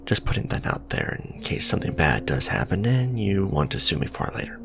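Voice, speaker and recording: A man acts out a male supervillain on a blind date in an ASMR audio drama. His voice is very low and gravelly, with lively swings in pitch. He comes across as blunt yet awkward, hesitant and businesslike. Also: fairly quick, noisy background, exaggerated voice. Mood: frank, businesslike. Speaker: voice actor. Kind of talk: ASMR romantic roleplay acting.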